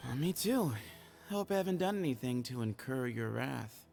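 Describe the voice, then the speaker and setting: An actor playing a laid-back, teasing and mysterious young desert guide in a video game, easygoing and playful. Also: male voice, mid-range voice, animated, normal speed, vocal fry, darkish tone, soft background music.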